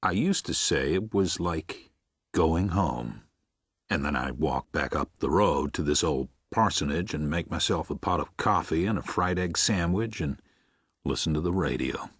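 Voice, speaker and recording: A man playing a gentle, reflective preacher of seventy-six in a first-person audiobook, with a measured, husky, aged voice. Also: very deep voice, expressive, no echo, clean studio sound, full character voice. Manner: measured, tender, musing. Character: gentle, reflective 76-year-old Midwestern preacher writing to his son. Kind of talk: first-person audiobook narration with acted dialogue.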